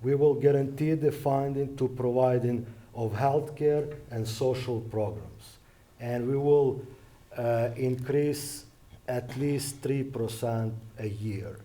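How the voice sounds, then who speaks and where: A man's voice: medium pitch, slow, dark tone. A man from Western Ukraine with a Ukrainian accent, giving a debate opening statement as an election candidate in careful non-native phrasing.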